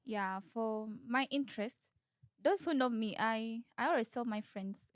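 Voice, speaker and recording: A woman with a Ugandan accent and a slightly high voice, recorded dry with little room sound. She answers interview questions candidly and informally, explaining things point by point with some repetition. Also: measured pace, no room sound.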